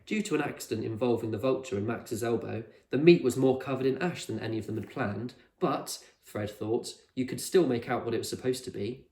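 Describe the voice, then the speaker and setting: Male storybook narrator, mid-pitched, teacherly read-aloud in a reverberant room. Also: fairly quick, hardly any pauses, a little mellow. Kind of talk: scripted storybook narration for children. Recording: echoey room.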